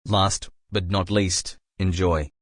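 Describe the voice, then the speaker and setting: Flat low-voiced man reading a disclaimer. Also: slow, no echo, studio quality. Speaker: video creator. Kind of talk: scripted disclaimer narration.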